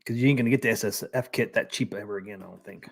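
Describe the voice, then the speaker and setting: A man's voice: on the low side, very fast, creaky. A man hosting a casual live stream, chatting and reading out viewer comments. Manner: easygoing, reads out viewer comments.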